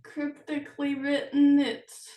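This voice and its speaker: Woman author, casual interview chat, high-pitched and halting, recorded in an echoey room. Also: completely flat delivery, dark tone. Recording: roomy echo, distant from mic.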